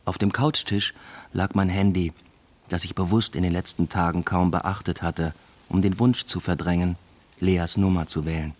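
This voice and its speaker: Brooding dad narrating a radio play, really low husky voice, thoughtful and literary. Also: male voice, steady tone, moderate pace. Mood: brooding, reflective. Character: brooding, evasive father narrating his own story. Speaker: narrator and lead character. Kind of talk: literary first-person radio drama narration.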